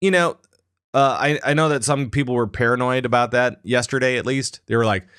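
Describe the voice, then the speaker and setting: Guy hosting a chatty gaming podcast, jokey, average pitch, dry sound. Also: brisk, a bit bright, clean tone, dry recording. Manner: playful, joking, repeats phrases for emphasis. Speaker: podcast host. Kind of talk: casual gaming podcast banter.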